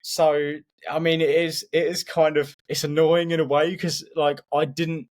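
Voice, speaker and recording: Halting, casual male podcast co-host. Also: a little high, little pitch movement, darkish tone. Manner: halting, repeats words as he thinks aloud. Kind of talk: casual sports podcast chat.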